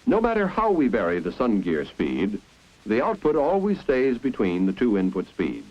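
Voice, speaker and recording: A man's voice: lowish voice, huge pitch swings, moderate pace, darkish tone. An American man narrating a 1950s instructional film in a didactic, explanatory way. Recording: dull, muffled 1950s film soundtrack.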